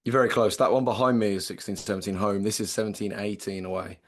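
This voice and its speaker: A man in his late twenties with an English accent and a slightly croaky voice, co-hosting a casual football podcast and chatting with lots of fillers. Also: on the low side, steady tone, quick pace. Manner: chatty, many fillers and restarts. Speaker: podcast co-host.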